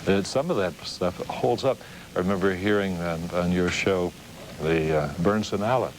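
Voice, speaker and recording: A man's voice: low-pitched, moderate pace. An American man, middle-aged or older, chatting casually as the host of a television interview. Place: TV studio interview. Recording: noisy 1990s local TV broadcast.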